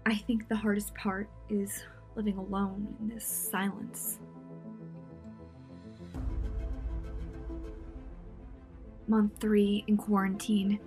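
A woman acts out a lonely young diarist in quarantine. She speaks in a medium-pitched, anxious voice in audio drama style, with music clearly audible behind her. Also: natural voice. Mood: weary, worried. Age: young adult. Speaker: student voice actor. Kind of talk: audio diary drama acting.

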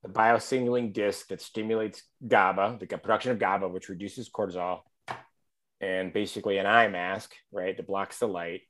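A man's voice: sits low, steady tone, moderate pace, a bit bright. A man hosting a casual interview, agreeable and recapping points for the listener. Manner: agreeable, frequent "yeah", recapping. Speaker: host.

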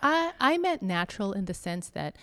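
A woman talking slowly and thoughtfully about faith and church life, pausing often with soft fillers. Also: medium pitch, big pitch swings. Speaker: church member interviewee. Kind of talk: reflective conversation.

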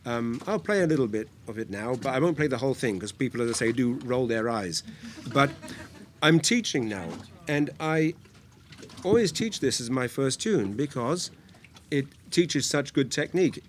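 A man's voice: mid-range voice, animated, normal speed. A man in a middle register speaks in an easy, rambling way, drifting from one story to the next. He is a guitar teacher talking as a guest on a music podcast. A faint hiss of noise sits under his voice. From England, near London. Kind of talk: podcast conversation. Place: on a boat in a harbour.

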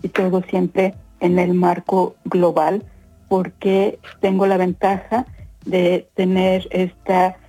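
A woman in her fifties with a Mexican accent, giving conversational interview answers, often pausing and repeating words as she searches for phrasing. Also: fairly low pitch, monotone, warm tone, soft background music, poor audio. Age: 50s. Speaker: interview guest, corporate professional.

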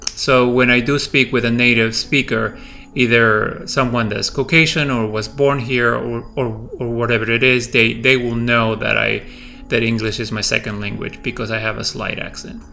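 A middle-aged man with a light Peruvian Spanish accent talks through his thoughts slowly, stopping often and restarting his sentences. His voice is gravelly and bright with some edge. Soft music plays faintly underneath. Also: mid-range voice, flat delivery. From Peru. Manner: hesitant, many fillers and restarts. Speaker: podcast host. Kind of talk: personal reflective monologue.

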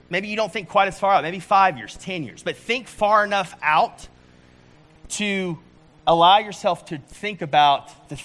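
Man in his forties, sermon, chatty delivery, slightly higher pitch. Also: a bit bright. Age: 40s. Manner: conversational, with frequent restarts and "like". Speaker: pastor.

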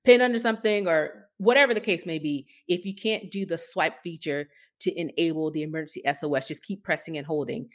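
A woman hosting a tech podcast, explaining things casually in a measured way with pauses. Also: low voice. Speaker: podcast host.

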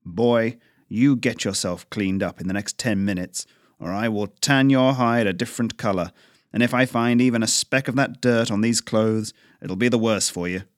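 A man voicing a stern, gruff middle-aged father who growls and barks orders. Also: medium pitch, normal speed, no room sound, clean studio sound, close to an everyday voice.

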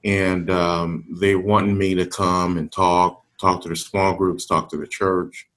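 An older man speaking in a measured conversational discussion, pausing often with ums as he gathers his thoughts. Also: low voice, little pitch movement, a bit bright. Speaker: discussion panelist.

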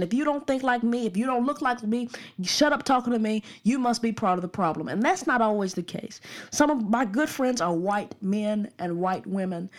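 Earnest woman, slightly high voice. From Birmingham, Alabama suburbs. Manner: earnest, repetitive, emphatic. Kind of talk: opinion monologue.

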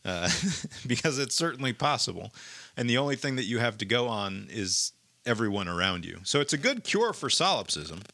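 A man's voice: mid-range voice, sing-song, moderate pace, vocal fry, bright, forceful tone, no echo. A man in casual conversation, talking in a reflective, musing way. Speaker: participant in a group conversation. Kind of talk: casual chat.

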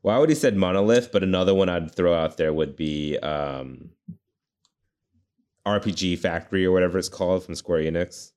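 Deep-voiced, laid-back man. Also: a little fast, a little mellow, clean tone. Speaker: podcast co-host. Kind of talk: casual podcast chat.

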